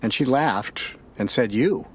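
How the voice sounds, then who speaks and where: County detective, American accent, mid-pitched, steady, probing, matter-of-fact, audio drama. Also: male voice, husky. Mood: probing, measured. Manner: measured, matter-of-fact questioning.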